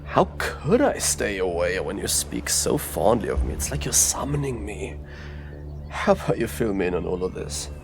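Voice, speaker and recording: A man acts out a cocky charmer of a detective in an audio drama. His low voice moves around a lot, full of teasing, theatrical banter. Music plays behind him. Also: big pitch swings, moderate pace, creaky. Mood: mocking. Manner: sardonic, playful, theatrical banter. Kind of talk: audio roleplay drama acting.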